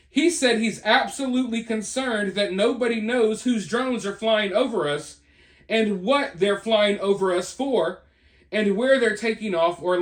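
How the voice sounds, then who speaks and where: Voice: mid-range voice, fairly bright tone. A host presenting news and commentary in an informal, conversational and emphatic way. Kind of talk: reading a news report with off-the-cuff opinion commentary. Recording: roomy, echoey sound.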